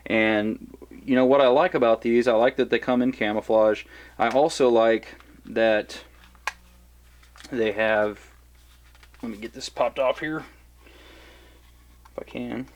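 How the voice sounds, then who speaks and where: A man giving a relaxed, rambling product review of radios, with lots of fillers and restarts. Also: mid-range voice, a little slow, pauses often, lo-fi sound. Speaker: survival gear reviewer.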